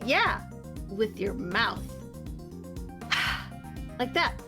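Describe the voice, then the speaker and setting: A woman doing an eager young forensic scientist from a game, helpful and upbeat. The voice sits high and leaps about in pitch. Game music plays clearly behind her. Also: very animated, very slow, heavily acted. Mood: cheerful. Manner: cheerful, helpful, explanatory. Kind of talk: fan dub of a game.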